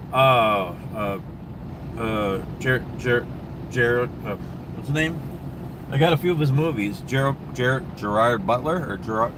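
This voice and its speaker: Rambling Minnesota man at a noisy truck stop. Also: medium pitch. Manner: rambling and easygoing, many ums and restarts. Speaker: livestream host. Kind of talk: casual live-stream chat answering viewer questions. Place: truck stop, with traffic and air conditioning noise.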